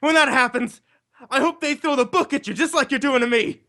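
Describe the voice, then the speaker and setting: A man's voice: extremely high pitch, fairly quick, sharp tone, exaggerated voice. An actor playing a desperate, bitter young condemned man in an old-time radio drama, pleading and overwrought.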